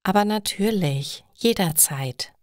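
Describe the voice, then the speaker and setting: A woman voicing a caring, calm young female best friend in a story, gentle and consoling. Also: medium pitch, takes it slowly, no room sound, clean studio sound. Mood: gentle reassurance.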